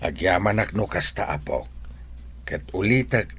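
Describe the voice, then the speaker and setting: A man's voice: low-pitched, lively intonation, a little slow, a bit bright, breathy edge, full character voice. A man acting a stern old village grandfather in a radio comedy drama, admonishing, with a Filipino accent. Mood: apologetic.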